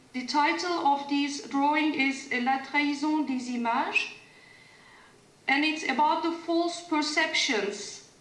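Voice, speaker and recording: High-voiced woman presenting over a call. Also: a bit bright, echoey room, poor audio. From Turkey. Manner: hesitant, many fillers and restarts. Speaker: conference panelist. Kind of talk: academic presentation. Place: room in Germany. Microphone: video call.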